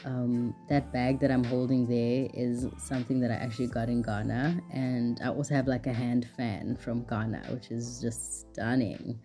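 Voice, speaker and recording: Young woman vlogger, very deep mellow voice, chatty, filler-heavy, laughs easily, soft music under. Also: fairly even intonation, normal speed, few pauses. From South Africa. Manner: chatty, lots of "like", laughs often. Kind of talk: casual travel vlog narration.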